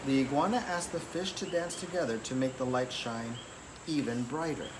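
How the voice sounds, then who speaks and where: A man reading a children's picture book aloud in an expressive, deliberate storytelling manner. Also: mid-range voice. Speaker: story reader. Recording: clearly noisy background.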